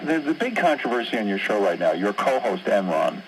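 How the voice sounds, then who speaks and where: A man's voice: lowish voice, very animated, fairly quick, a crowd in the background, rough recording. A man hosting a radio conversation, prodding his guest and often repeating himself in casual interview banter. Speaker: radio host. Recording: noticeable background noise.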